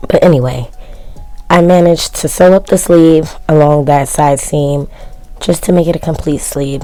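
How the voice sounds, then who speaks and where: Low, gravelly woman with a worn voice. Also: mellow. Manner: chatty, self-mocking, shrugging asides. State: voice worn from yelling, cracking. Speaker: sewing vlogger. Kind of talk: casual DIY voice-over narration.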